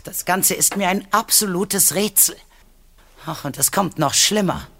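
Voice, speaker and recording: A woman's voice: on the low side, measured pace, pushed and bright, husky, heavily acted. Earnest, guarded woman researcher. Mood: worried, guarded. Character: worried, secretive adult woman researcher, bird owner. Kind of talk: audio drama dialogue.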